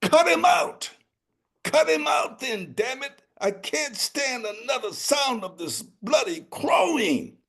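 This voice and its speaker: A man voicing a furious, gluttonous king who shouts orders. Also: extremely high pitch, very animated, slow, gravelly, slightly rough, voice pushed up, full character voice.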